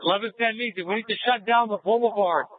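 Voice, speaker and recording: Very high, rapid male officer on radio. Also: dry recording. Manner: urgent, insistent. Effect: police radio. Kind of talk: urgent police radio calls. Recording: narrow-band police scanner radio.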